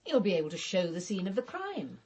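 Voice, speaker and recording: An actress playing a caustic, resentful English woman in a radio drama, with a husky voice and a blunt, sardonic delivery. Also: fairly low pitch, sing-song, brisk, full character voice. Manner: sardonic, blunt, sharp-tongued.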